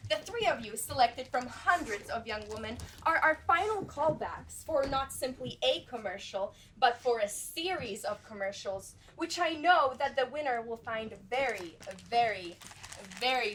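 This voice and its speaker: A woman's voice: sits high, lively intonation, moderate pace, slight background noise. A teenage girl acting in a school stage play as a pompous, salesy corporate casting agent. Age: teens. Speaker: student actor.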